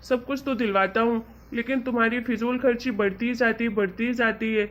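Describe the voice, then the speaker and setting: A woman's voice: fairly high pitch, steady tone, a little fast, close to an everyday voice. A woman playing an exasperated, reasoning husband in an amateur cartoon story. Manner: reasoning, chiding, colloquial. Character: exasperated young husband, patient and teasingly lecturing. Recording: dull, lo-fi audio.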